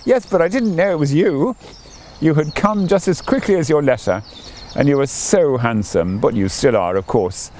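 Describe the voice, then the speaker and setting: A man's voice: fairly high pitch, animated, moderate pace, darkish tone, slight background noise, natural voice. Man reading as a fond old wife, reminiscing. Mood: fond, nostalgic.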